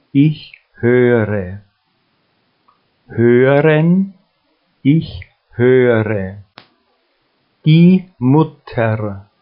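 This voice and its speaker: Male language teacher at a mid pitch, extremely slow and evenly spaced, with slightly dull, lo-fi audio. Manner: slow, deliberate, evenly spaced phrases with long pauses. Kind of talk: listen-and-repeat drill.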